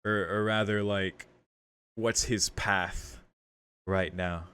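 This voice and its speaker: A man's voice: fairly low pitch, fairly even intonation, takes it slowly, a bit bright, clean tone. A man doing a casual game playthrough, reading text aloud and commenting, with game music underneath. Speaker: game streamer.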